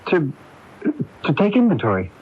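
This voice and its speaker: A man's voice: on the high side, wildly swooping pitch, normal speed, husky, full character voice. Shifty defensive guy in 80s radio play, tinny phone-like sound, voice jumps around. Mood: evasive, nervous. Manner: stammering, defensive, evasive. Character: evasive, defensive crooked businessman hiding guilt from his sister. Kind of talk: 1980s radio drama acting.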